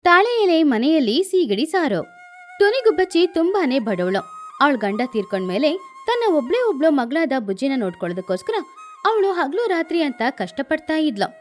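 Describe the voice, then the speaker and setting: A woman's voice: high voice, big pitch swings, normal speed, faint music behind. A woman storyteller narrating scripted bedtime stories for children.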